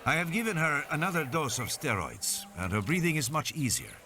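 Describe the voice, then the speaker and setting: A man voicing a careful, formal male hospital doctor with a measured, precise manner. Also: a little high, sing-song, background noise, pitched above the performer's own voice.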